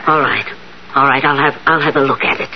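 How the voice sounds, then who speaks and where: An actor playing a matter-of-fact, skeptical hospital doctor in a 1970s radio drama. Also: male voice, mid-range voice, fast talker, natural voice. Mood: brisk, doubtful. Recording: muffled, hissy 1970s radio recording.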